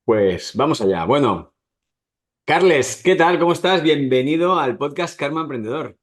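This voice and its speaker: A man's voice: a little high, animated, normal speed. A man hosting a podcast, addressing listeners and guest in an informal, direct way. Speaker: podcast host. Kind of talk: scripted podcast intro and casual interviewing.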